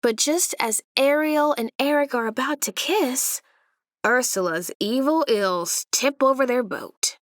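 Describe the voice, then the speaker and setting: A woman narrating a children's storybook aloud in an expressive way, with deliberate, dramatic emphasis. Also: high-pitched, no echo, studio quality.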